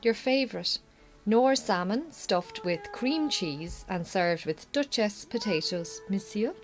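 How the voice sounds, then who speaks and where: Irish farm wife in a strained marriage. Brisk, wry, yearning underneath, very varied pitch, radio play naturalism. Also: female voice, expressive, soft background music. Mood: coaxing, flirtatious. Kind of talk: naturalistic radio drama dialogue.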